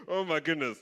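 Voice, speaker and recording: A young man from Kenya doing live stand-up comedy on a stage mic, playful and animated. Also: fairly high pitch. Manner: animated, playful, switches voices. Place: stage in a large hall. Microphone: handheld stage mic. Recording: live hall with long reverb.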